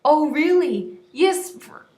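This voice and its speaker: A woman voicing hot-tempered young campers in a story reading, with loud, drawn-out exclamations. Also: high voice. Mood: arguing. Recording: roomy, echoey voice recording.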